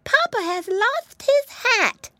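A woman voicing an excitable little piggy boy who takes charge and lays out plans in a high, childlike voice. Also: slow pace, no room sound, exaggerated voice. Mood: eager.

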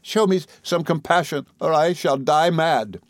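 A man reading a classic novel, voicing an anguished fallen young woman, soft, tearful and imploring. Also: fairly high pitch, measured pace, no room sound, clean studio sound, voice pushed up, close to an everyday voice. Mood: desperate pleading.

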